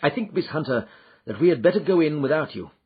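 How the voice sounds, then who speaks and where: A shrewd detective , voiced by a male reader, gives brisk, commanding orders in a raised, lively voice. His tone is husky, and the recording is dull and lo-fi. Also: fairly high pitch, pitched above the performer's own voice. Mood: urgent.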